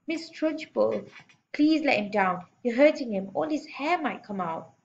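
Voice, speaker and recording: A woman's voice: sits high, expressive, fast talker, dull audio, voice pushed up. A woman voicing a kindly young schoolteacher in a storybook, polite and pleading in manner. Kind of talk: audiobook storybook character voice.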